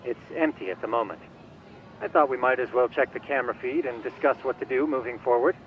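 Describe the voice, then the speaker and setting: A man's voice: medium pitch, fast talker, lo-fi sound, lightly acted. A man playing a polite, eager, nerdy tech expert in a video game, speaking precisely and formally. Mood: brisk, reporting. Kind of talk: video game cutscene dialogue.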